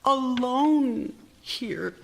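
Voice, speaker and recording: A worn-out, anxious young wife in period radio theater. Her voice sits fairly high and moves very slowly, with an intense, halting delivery that slips toward hushed confiding. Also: expressive, gravelly, full character voice. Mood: distressed, pleading. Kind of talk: period radio theater acting, monologue and dialogue. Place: theater stage, live performance.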